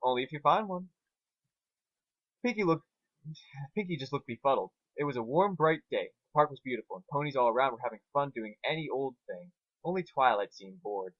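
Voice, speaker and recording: A man's voice: medium pitch, animated, fairly quick, no echo, highs cut off. A man co-hosting an informal dramatic reading, talking and narrating in an amateur, casual way. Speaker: reader and co-host.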